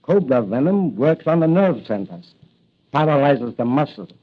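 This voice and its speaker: An actor playing a learned, grave professor in 1940s radio drama style. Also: male voice, medium pitch, big pitch swings, moderate pace. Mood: grave, explanatory. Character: learned, grave male professor explaining medical findings. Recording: muffled old radio recording.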